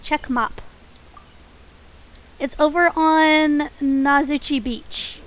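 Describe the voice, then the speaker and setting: Woman streamer, high and slightly nasal, relaxed chatty delivery, faint hiss on the recording. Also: drawn-out words. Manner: soft-spoken, chatty, frequent small laughs; voice pitched up from her natural register. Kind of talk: casual game stream chat.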